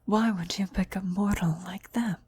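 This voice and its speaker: A woman's voice: medium pitch, fairly even intonation, normal speed, a little mellow, slight background noise, natural voice. A woman playing a fawning female cultist devoted to her demon queen, deferential and half-whispering, in an ASMR roleplay. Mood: disdainful.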